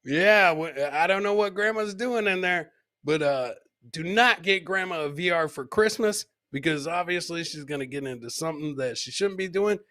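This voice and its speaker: A man's voice: fairly high pitch, moderate pace, pushed and bright. A man hosting a live comedy show, casually commenting on funny clips and often repeating his phrases for comic emphasis.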